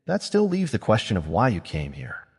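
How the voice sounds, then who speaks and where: A man's voice: on the low side, wildly swooping pitch, moderate pace, husky, long reverb tail, exaggerated voice. A man voicing a guarded, blunt young male mage who speaks dryly and confrontationally.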